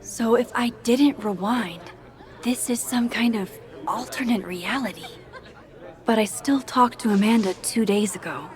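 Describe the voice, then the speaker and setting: A woman's voice: a little high, measured pace, a faint crowd, lightly acted. A woman voicing an awkward, introspective young photographer in a naturalistic video game style. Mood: wondering. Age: young adult. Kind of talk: naturalistic video game acting, dialogue and musing.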